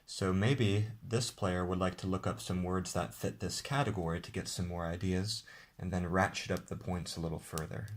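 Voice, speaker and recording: A man, the game's designer, walking viewers through how to play his card game in a relaxed, explanatory way. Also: low voice, moderate pace. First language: English. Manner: relaxed, explanatory, with occasional restarts. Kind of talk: casual tabletop game demonstration.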